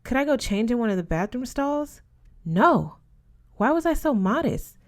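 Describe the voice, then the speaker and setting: A woman reading a young adult novel aloud as scripted narration, with expressive delivery. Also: a little high, normal speed. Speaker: audiobook reader.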